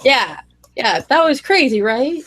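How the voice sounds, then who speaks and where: A woman co-hosting a casual group chat, sick, her voice deeper and more gravelly than usual, acting out what other people say. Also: measured pace. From Pacific Northwest, US. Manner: animated, acts out quoted customers and family. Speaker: podcast co-host.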